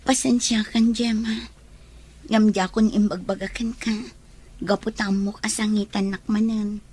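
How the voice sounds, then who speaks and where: A woman acting as an apologetic but determined heroine with a slightly husky voice. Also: mid-range voice, little pitch movement, measured pace, darkish tone. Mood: apologetic, sad. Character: Annalyn, apologetic, determined woman leaving for work abroad. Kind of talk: Ilocano radio-style drama acting.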